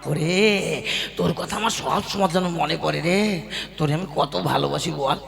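A man's voice: on the high side, moderate pace, creaky. A man playing an ageing, scheming troupe-master husband in a comic puppet play, coaxing with exaggerated sweet talk.

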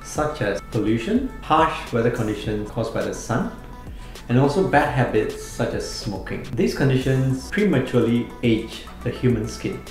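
Surgeon, male, 40s; steady clinical promo read, average pitch, reverberant room. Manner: measured, explanatory, clinical terms. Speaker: plastic surgeon presenting his clinic. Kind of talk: scripted promotional explainer. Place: indoor studio before a plain backdrop. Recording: very echoey room. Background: soft background music.

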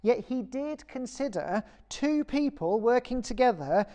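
A British woman vicar giving a recorded sermon in a measured, earnest and encouraging way. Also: fairly high pitch, expressive, dark tone. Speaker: parish vicar preaching.

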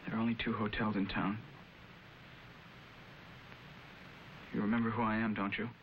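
An actor playing a troubled, wounded young American son in a 1950s television drama, intense and earnest. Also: male voice, on the low side, flat delivery, rapid-fire, a little hiss or hum, lightly acted. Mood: urgent, tense. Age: young adult. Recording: dull vintage TV soundtrack, music behind.

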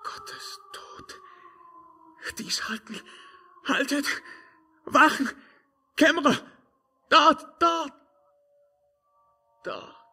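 An actor playing a tormented emperor in a 1950s radio verse drama, declaiming fervently. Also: male voice, very high-pitched, sing-song, drawn-out words, creaky, long pauses, exaggerated voice. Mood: horrified. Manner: declamatory, fervent, swinging from hushed to crying out. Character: tormented emperor breaking free of a witch's spell. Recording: 1950s radio recording, faint music.

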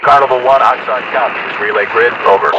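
A man playing a calm soldier, speaking in terse, procedural military chatter through a radio filter. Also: mid-range voice, a little fast, muffled recording. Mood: businesslike. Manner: procedural, terse, uses call signs. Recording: noisy, distant military radio transmission.

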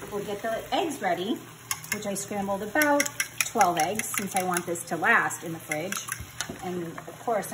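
A middle-aged woman chats her way through a cooking demo, teasing herself as she goes. She is recorded on a phone in her home kitchen. A pan sizzles clearly in the background. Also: mid-range voice, background noise. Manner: chatty, joking, self-teasing. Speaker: home cook vlogger.

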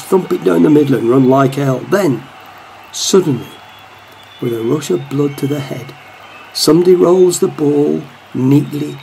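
A man's voice: on the high side, gravelly, darkish tone, a little hiss or hum, rough recording. A man playing a wry, devoted football supporter who narrates a match in a radio play, in commentary style. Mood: fond admiration.

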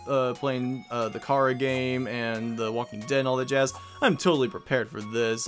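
Male Let's Play host: playful, casual commentary, medium pitch over faint music. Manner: joking, playful.

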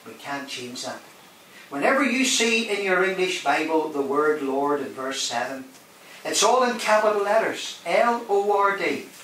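A man's voice: on the high side, animated, unhurried, sharp tone. A man preaching a sermon in a large hall, emphatic, rising and dropping his voice and questioning the congregation. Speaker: guest preacher. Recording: very echoey hall, mic at a distance, faint hiss.

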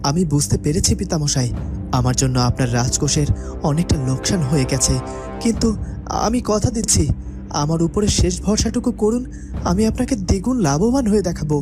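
Earnest young cartoon prince, a bit high, pleading, music behind. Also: male voice, lively intonation, a little fast.

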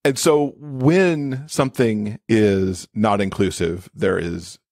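A man's voice: on the low side, slow pace, a little mellow, dry recording, clean studio sound. A man being interviewed as an expert guest, explaining things conversationally with everyday examples. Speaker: podcast guest, accessibility expert and author.

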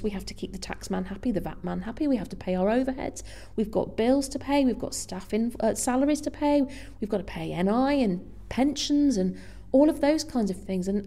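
A woman's voice: on the high side, animated, a little fast, warm tone. A woman guest speaker explaining her work in a conversational interview. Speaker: guest speaker, theatre finance professional.

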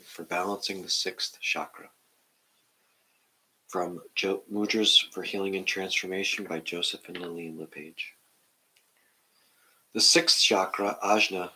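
A middle-aged man calmly guiding a meditation in a gentle, measured way. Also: low-pitched, slightly rough, rough recording. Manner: calm, measured. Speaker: meditation guide. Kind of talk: gentle guided meditation and reading aloud. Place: indoor room at home, seated on the floor.

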